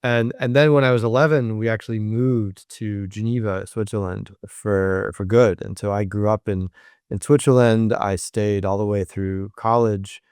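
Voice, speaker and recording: Man, professor, low voice, reflective, hesitant fillers, dry sound. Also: fairly even intonation, normal speed, a little mellow, clear, resonant tone, no echo. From United States. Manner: thoughtful, with frequent ums and restarts. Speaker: professor and interview guest. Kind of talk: reflective interview answers.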